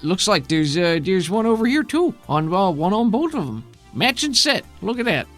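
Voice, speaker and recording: A man's voice: high voice, big pitch swings, normal speed, a bit bright, soft background music, pitched above the performer's own voice. A man voicing a friendly, chatty male robot worker in a tabletop game, rambling and hesitant in a folksy way. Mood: puzzled, chatty. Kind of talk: tabletop roleplay character voice.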